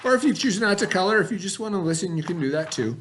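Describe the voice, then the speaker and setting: A middle-aged man reading a picture book aloud to a class in a folksy storybook manner, pitching his voice up for the characters. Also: lively intonation, fast talker, fairly bright tone, a little hiss or hum. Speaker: teacher.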